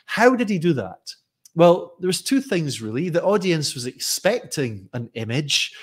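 Soft East Coast Scottish man, chatty. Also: mid-range voice. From Scotland. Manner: chatty, animated storyteller with frequent restarts. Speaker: teacher trainer. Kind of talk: live-streamed teaching talk. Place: bright home room with tall windows. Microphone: desk mic with headphones.

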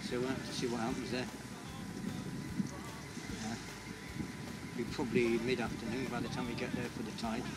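A man's voice: mid-range voice, level tone, moderate pace, long pauses, very noisy. Older man narrating from a sailboat deck. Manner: chatty, thinking aloud with fillers. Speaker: skipper filming his sailing trip. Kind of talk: casual travel narration. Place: outdoors on the deck of a sailboat at sea. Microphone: action camera on the boat.

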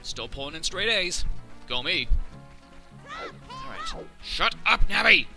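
Hoarse, sarcastic young gamer guy. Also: high voice, wildly swooping pitch, takes it slowly. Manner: sarcastic, chatty. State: hoarse from a cold. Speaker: let's play commentator. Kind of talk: casual gameplay commentary. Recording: loud game music under voice.

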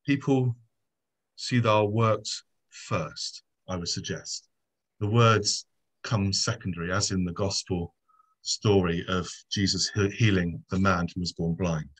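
A middle-aged man speaks over a webcam from a room at home, his voice fairly low. He argues his point in a measured, earnest way and often pauses on an um. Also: fairly even intonation, fairly bright tone, husky. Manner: measured, earnest, many ums. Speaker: debater, subdeacon. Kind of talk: formal debate presentation. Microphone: video call webcam.

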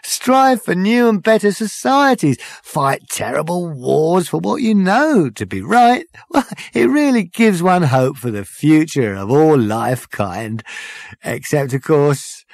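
A man's voice: on the high side, lively intonation, slow, gravelly, dry recording, pitched above the performer's own voice, exaggerated voice. A man voicing a showy male cabaret compère with ironic, mocking showbiz patter. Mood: smarmy.

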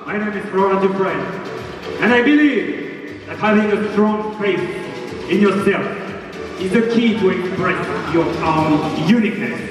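A man's voice: high-pitched, unhurried, pauses often. A young man from France, a pageant contestant, giving an earnest rehearsed self-introduction on a large indoor stage. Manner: earnest, declaiming. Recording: echoey hall from far off, music.

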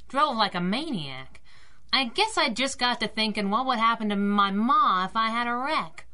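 A woman's voice: fairly high pitch, pushed and bright. An actress playing a brash, feisty young working-class woman driver in a 1930s radio drama, slangy and wisecracking. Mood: conciliatory.

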